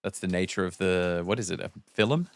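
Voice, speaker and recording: A man in casual podcast banter, joking and slipping into quick mimicry. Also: deep voice, no echo.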